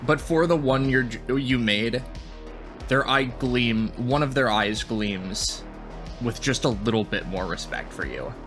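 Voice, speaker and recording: Mid-pitched man, loose casual narration. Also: animated, unhurried, fairly bright tone, soft background music. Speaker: player. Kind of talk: narrating his character's actions.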